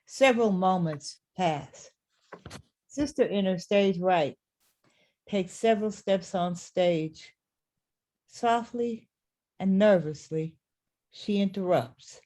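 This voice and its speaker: A woman's voice: mid-range voice, expressive, slow, pauses often, dark tone. A woman reading scripted stage directions as the narrator of a play.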